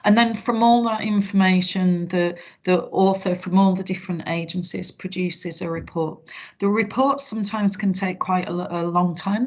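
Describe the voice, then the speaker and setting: Lower-pitched British woman, muffled call audio. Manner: informal, explanatory, reassuring. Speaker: safeguarding trainer. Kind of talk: online training presentation with slides. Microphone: video call microphone.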